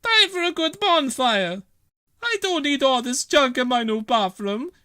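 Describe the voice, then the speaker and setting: A man voicing an excitable, carefree Italian cafe woman in a high falsetto for a children's story. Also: measured pace, smooth, dry recording, clean studio sound, full character voice. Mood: determined.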